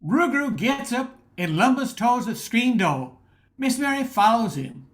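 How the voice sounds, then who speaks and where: A woman's voice: on the high side, big pitch swings, moderate pace. A woman narrating an amateur radio play as a scripted storybook tale.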